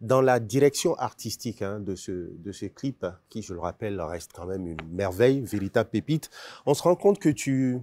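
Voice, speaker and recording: A man's voice: lowish voice, lively intonation, moderate pace, mellow, a little hiss or hum. A man on a talk show panel, asking the guest questions in formal, elaborate phrasing.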